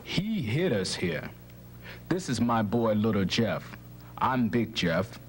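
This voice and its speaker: Storybook voice: a protective runaway father, low and steady, played by a woman narrator. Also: takes it slowly, a little hiss or hum, exaggerated voice. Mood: earnest. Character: grown man, a runaway father hiding in a barn. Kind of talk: storybook reading.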